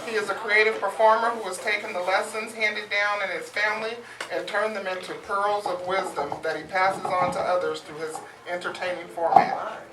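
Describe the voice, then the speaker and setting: A woman with a mid-range voice reads a prepared introduction as an event announcer. She sounds far from the mic, with echo and loud noise around her. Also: mid-range voice, flat delivery, moderate pace. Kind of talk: read introduction of a performer. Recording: very noisy, echoey, distant live recording. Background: loud noise and clicks.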